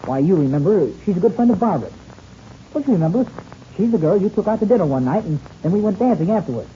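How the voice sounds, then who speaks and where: A man's voice: high-pitched, lively intonation, fast talker, dark tone. An actor playing an eager, observant, friendly teenage boy pilot in an old-time radio adventure serial. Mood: excited recognition. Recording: muffled, hissy old radio recording.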